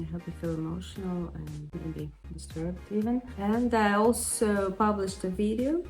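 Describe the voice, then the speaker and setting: Russian-accented woman musician with soft music behind. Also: fairly low pitch. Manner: thoughtful, pausing with "uh" and restarting words. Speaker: independent musician, interview guest. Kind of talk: casual interview answers.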